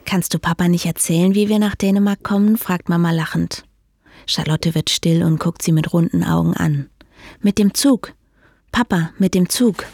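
Low gravelly woman narrating a documentary. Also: normal speed, darkish tone, no echo. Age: 30s. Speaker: journalist and documentary author.

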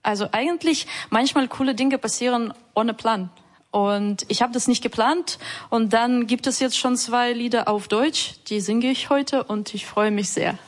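Woman, fairly high voice. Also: measured pace. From Ukraine. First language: not German. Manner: enthusiastic, slightly broken German with fillers. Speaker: musician guest. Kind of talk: radio interview.

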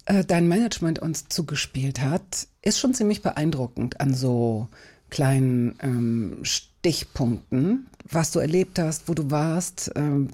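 A woman's voice: sits low, warm tone. A woman interviewing a guest, asking probing and playful questions with occasional fillers.

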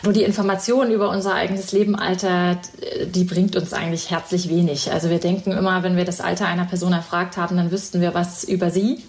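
A woman's voice: lowish voice, level tone, quick pace, a little mellow. A woman in her forties explaining as an academic expert in an interview, fluent and precise. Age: 40s. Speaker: interviewee, professor.

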